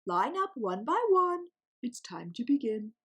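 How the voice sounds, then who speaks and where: Strict storybook penguin schoolmaster, acted by a woman, slow, formal, cautioning and instructive. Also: a little high, sing-song, fairly bright tone, husky, pitched above the performer's own voice, full character voice. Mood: commanding. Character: strict formal male penguin teacher, cautioning his pupils.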